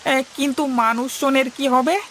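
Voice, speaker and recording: A man voicing an excitable, henpecked village husband in a moral-story cartoon, with exclamatory, comic delivery. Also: very high voice, a little slow, a little hiss or hum, exaggerated voice. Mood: hesitant.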